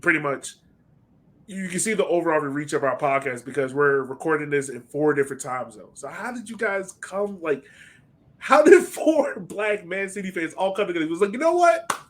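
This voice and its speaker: A man's voice: a little high, expressive, moderate pace. A man hosting a casual podcast interview, asking questions in an easy conversational style. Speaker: podcast host.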